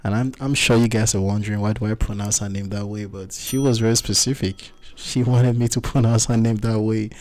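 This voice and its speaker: Guy hosting a podcast, lowish voice, joking around, says stuff twice. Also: steady tone, fairly quick, few pauses, a little mellow. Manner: chatty, teasing, repeats himself. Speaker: podcast host. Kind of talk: casual podcast interview. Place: studio.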